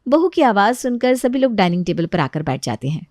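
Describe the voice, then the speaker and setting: A woman's voice: mid-range voice, very animated, brisk, a little mellow, no echo, clean studio sound. A woman reading scripted narration for a family story.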